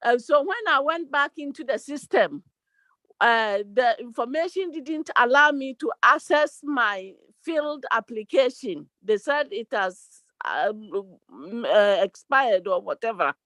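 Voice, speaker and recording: A distressed woman calling into a forum, explaining her problem with an application slowly and pleadingly. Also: a little high, lively intonation, fairly bright tone, no room sound. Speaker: caller.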